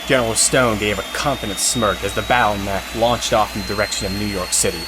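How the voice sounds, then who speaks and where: A man's voice: fairly low pitch, fairly even intonation, a little fast, very noisy. A man reading scripted narration for an audio drama. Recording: loud music and effects behind. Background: loud music.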